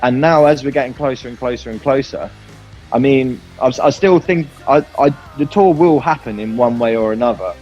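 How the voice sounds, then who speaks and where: A man's voice: mid-range voice, normal speed, darkish tone, lo-fi sound, soft background music. A man chatting informally and candidly in an interview as a band musician, in a rambling way. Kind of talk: casual interview chat.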